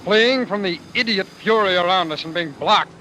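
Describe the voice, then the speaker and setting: A man's voice: high-pitched, normal speed, bright, forceful tone, a little hiss or hum, low-quality recording. An actor playing a haunted, intense man narrating his flight from war, brooding and theatrical, in an old radio drama. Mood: bitter intensity. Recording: vintage radio recording with music behind.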